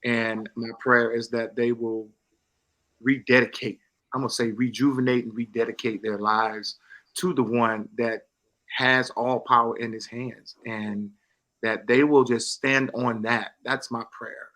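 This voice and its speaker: Stage actor, male, middle-aged, mid-range and bright, earnest and emphatic, giving a promotional interview. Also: mid-range voice.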